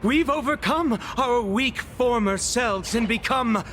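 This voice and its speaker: High-pitched, bitter young fighter. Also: male voice, takes it slowly, fairly bright tone, slight background noise, exaggerated voice. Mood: furious, proud. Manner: theatrical, impassioned declaiming. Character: bitter young male squad fighter, defiant and vengeful. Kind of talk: dramatic game voice acting. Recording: background music under the voice.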